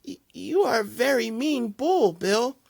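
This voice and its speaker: A man voicing a wise old pig in a storybook, speaking softly with slow, mild firmness. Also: extremely high pitch, lively intonation, creaky, dry recording, studio quality, voice pushed up, exaggerated voice. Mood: calm reproach. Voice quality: soft. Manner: slow, mild, firm reproach. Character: wise old male pig, calm and unafraid.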